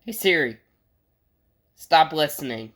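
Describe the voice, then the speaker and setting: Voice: medium pitch, lively intonation, slow, fairly bright tone. Rambling, jokey American radio hobbyist. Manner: rambling, jokey, easily sidetracked. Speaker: hobbyist vlogger showing a radio collection. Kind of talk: casual unscripted vlog chat. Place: indoors in a bedroom.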